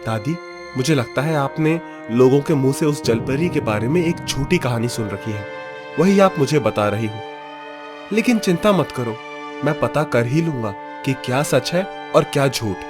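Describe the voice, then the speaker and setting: A man's voice: medium pitch, lively intonation, moderate pace, music in the background, natural voice. A man playing a young, stubborn, suspicious fisherman in a dramatised cartoon story, emphatic and dramatic. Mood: doubtful.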